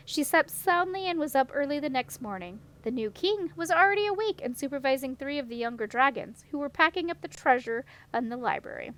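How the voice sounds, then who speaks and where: A woman's voice: high voice, a bit bright. A woman reading a children's fantasy story aloud as scripted narration. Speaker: audiobook reader.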